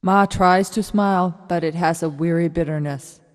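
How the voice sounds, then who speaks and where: A woman's voice: lowish voice, fairly even intonation, moderate pace, close to an everyday voice. A woman narrating stage directions for a radio drama in a scripted style. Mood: neutral.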